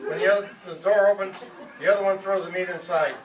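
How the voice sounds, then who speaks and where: A man's voice: high-pitched, fairly even intonation, fast, close to an everyday voice. A man playing a blustering, scheming father and husband in an old-time radio comedy on stage. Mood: scheming, excited. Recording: echoey live stage from far off, faint noise.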